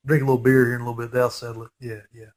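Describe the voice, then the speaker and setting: A man's voice: medium pitch, little pitch movement, rapid-fire. Nasal, stuffy-voiced man rambling over a film. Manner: rambling, chatty, geeky enthusiasm. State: nasal from a head cold. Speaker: fan film commentator. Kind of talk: casual movie commentary.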